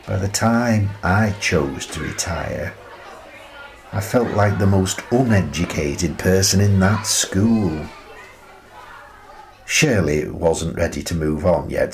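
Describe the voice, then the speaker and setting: Slow, low-voiced wistful older man, played by an actor. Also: a little hiss or hum, heavily acted. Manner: reflective, measured, pausing between phrases. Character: wistful retired teacher, gentle ageing husband and grandfather. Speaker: actor in a radio play. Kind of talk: dramatic monologue, radio play storytelling.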